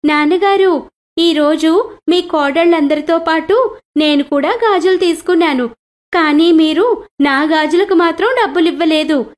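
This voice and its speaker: A woman's voice: very high voice, measured pace, voice pushed up. A woman voicing a sweet little girl goddess who speaks like a polite, plaintive daughter in a devotional storybook tale. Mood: sad, pleading.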